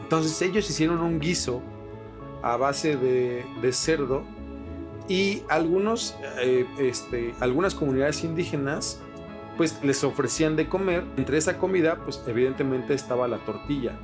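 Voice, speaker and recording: A man's voice: a little high, a little slow. Slangy Mexican man chatting over music. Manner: slangy, sweary. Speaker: podcast host. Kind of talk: casual explanatory chat. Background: music with guitar.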